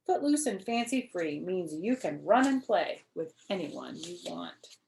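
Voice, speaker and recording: A woman's voice: fairly low pitch, sing-song, unhurried, creaky, a little mellow, a little hiss or hum, exaggerated voice. Patient, reassuring mother of a small child, read by a woman, children's storybook, echoey room. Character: gently amused, patient mother of a small girl.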